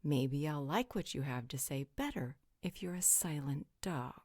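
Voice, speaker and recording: An actress playing a bossy, sardonic female vampire in an audio roleplay, with a husky, breathy voice and a commanding, deliberate delivery. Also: low-pitched, animated, a little mellow, dry recording, clean studio sound. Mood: haughty, threatening.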